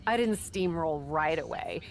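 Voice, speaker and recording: A woman's voice: sits low, lively intonation, unhurried, a little hiss or hum. Flippant young woman wrestler. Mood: defensive. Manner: offhand, breezy, defensive. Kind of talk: casual video game cutscene dialogue.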